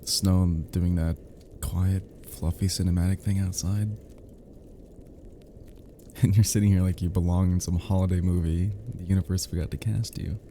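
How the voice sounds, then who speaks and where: A man playing a cozy, teasing young male friend who is sweetly smitten, in an intimate ASMR roleplay, murmuring softly and hesitantly with chuckles. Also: low voice, little pitch movement, moderate pace, a little hiss or hum. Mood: tender teasing.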